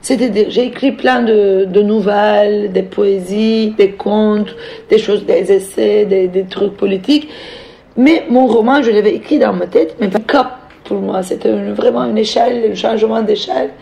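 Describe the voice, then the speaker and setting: A middle-aged woman from Turkey with a Turkish accent, telling her own story off the cuff as a novelist, reflective and halting as she searches for words and repeats phrases for emphasis. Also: mid-range voice, steady tone, gravelly, dark tone. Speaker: novelist speaking as a guest. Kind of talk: reflective personal account, spoken off the cuff.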